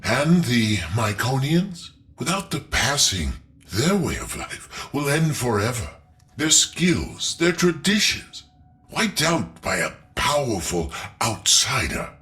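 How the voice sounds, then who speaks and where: An actor playing a sly, principled rebel leader in a video game, wry and pointed in manner. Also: male voice, mid-range voice, very animated, slow, a bit bright. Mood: earnest, challenging. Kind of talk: video game character acting.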